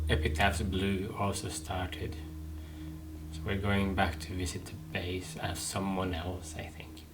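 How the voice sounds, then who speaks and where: A man's voice: deep voice, noisy background. A man giving casual video game commentary, thinking aloud and repeating phrases over game music.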